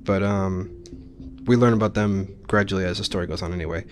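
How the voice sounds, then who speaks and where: A man's voice: deep voice, brisk, faint music behind. A man giving informal, explanatory commentary as he hosts a video game playthrough. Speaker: Let's Play host. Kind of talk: casual gameplay commentary.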